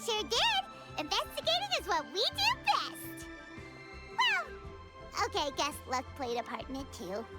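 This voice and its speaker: A woman voicing a small, childlike fairy companion in an anime game, with a squeaky, perky, cheeky delivery. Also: wildly swooping pitch, unhurried, full character voice. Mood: excited. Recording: game music and jingles behind.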